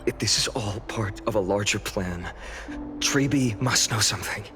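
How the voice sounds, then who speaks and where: A man's voice: fairly low pitch, normal speed, lightly acted. A man voicing an earnest, analytical young adventurer in a video game, serious and reasoning, with music underneath. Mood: tense, pensive.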